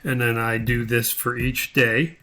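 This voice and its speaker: A man giving an unscripted step-by-step software tutorial, talking haltingly as he thinks aloud while he works. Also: mid-range voice, fairly even intonation.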